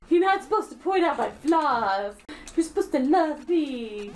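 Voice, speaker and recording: A woman's voice: high voice, lively intonation, takes it slowly, a little mellow, a little hiss or hum. A woman chatting casually and teasingly while watching a retro game being played. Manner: playful, teasing, giggly. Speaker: co-host watching a playthrough. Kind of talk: casual gaming chat.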